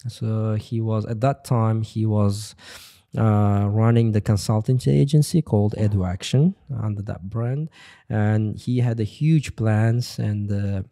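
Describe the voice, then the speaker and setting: A low, gravelly man's voice, dark and mellow in tone. He speaks in a halting way with fillers and restarts, a guest on a close boom mic in a small podcast studio. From Uzbekistan. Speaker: guest, testing center head. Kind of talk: interview.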